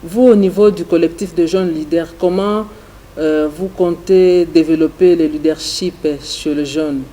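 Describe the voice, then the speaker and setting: A male TV host asking formal, measured questions in a studio interview. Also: on the high side, fairly even intonation, warm tone.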